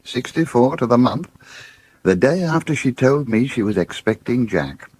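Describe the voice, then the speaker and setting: An actor playing a kindly, proud old farmer, speaking gently and haltingly in a radio drama. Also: male voice, medium pitch, animated, breathy edge, dry recording. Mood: tender, wistful. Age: 70s. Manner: gentle, plain-spoken, halting. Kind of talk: naturalistic radio drama acting. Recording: 1980s radio drama broadcast.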